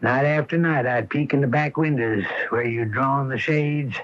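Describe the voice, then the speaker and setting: A man's voice: mid-range voice, moderate pace, lightly acted. An actor playing a blunt, nosy, suspicious small-town man with a folksy manner in a radio mystery drama. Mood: accusing, nagging. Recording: dull lo-fi 1980 radio broadcast.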